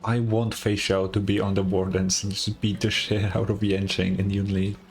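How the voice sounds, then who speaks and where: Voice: deep voice, flat delivery, normal speed. A streamer giving casual, slangy reaction commentary on a game, in a laid-back manner. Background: game music.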